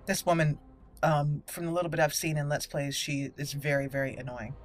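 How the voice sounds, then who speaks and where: A woman hosting a roleplay playthrough, musing through her commentary and deliberately voicing dialogue choices. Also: sits low, level tone. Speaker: gaming channel host. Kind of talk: roleplay game commentary, reading dialogue in character.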